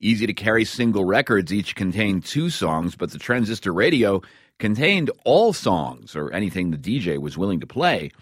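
Male, American accent, very deep voice, radio host, presenter delivery, dry close recording. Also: sing-song, moderate pace, dry recording. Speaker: radio show host and interviewer. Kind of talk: radio presenting, scripted intros and interview.

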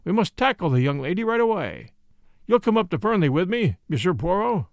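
A man performs a middle-aged police inspector in an audiobook whodunit. He is blunt, stubborn and official, stressing words with very varied pitch and creaky edges. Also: sing-song, a little fast, no echo, studio quality, heavily acted. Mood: decisive. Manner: brusque, official, emphatic.

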